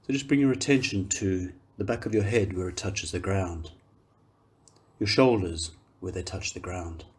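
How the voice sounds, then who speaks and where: A man with a low, somewhat husky voice leads a guided meditation. He gives gentle, calm instructions in unhurried phrases, leaving long pauses between them. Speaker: meditation guide, yoga teacher.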